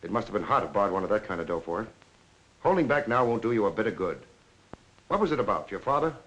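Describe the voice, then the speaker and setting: An actor playing a blunt, hard-boiled police lieutenant in a 1940s film noir, with an American accent and a clipped, pressing way of talking. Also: male voice, lowish voice, big pitch swings, fast talker, fairly bright tone, breathy edge, full character voice. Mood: pressing, accusing. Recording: noisy 1940s film soundtrack.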